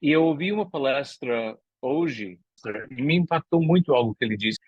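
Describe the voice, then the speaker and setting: A man's voice: mid-range voice, animated, moderate pace, husky, dry recording, highs cut off. A man speaking as a guest at a prayer meeting, thoughtful, with small restarts.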